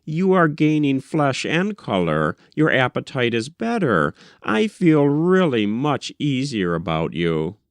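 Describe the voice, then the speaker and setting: A man narrating plays a doting husband and doctor who is sure he knows best. He coaxes and condescends in a smooth, clear voice with swooping intonation. It is storybook dialogue, recorded dry. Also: medium pitch, big pitch swings, takes it slowly, few pauses, no echo. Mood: patient, patronizing. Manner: coaxing, condescending. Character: doting, patronizing husband, a self-assured doctor. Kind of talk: audiobook character dialogue.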